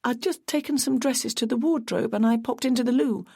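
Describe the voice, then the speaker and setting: A woman's voice: brisk, creaky, dark tone, slightly rough, no echo, studio quality. Woman voicing Carla, a tearful young runner. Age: 20s. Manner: high, sniffly, anxious and gushing.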